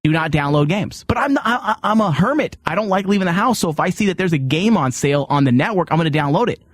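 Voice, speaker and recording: A middle-aged man hosting a talk show with casual comic banter and animated, incredulous questioning. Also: fairly high pitch, quick pace, no room sound, clean studio sound.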